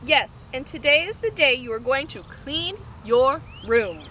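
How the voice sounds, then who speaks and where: Firm, exasperated storybook mother, read by a woman, bossy, high and animated. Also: unhurried, slight background noise, full character voice. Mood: firm, nagging.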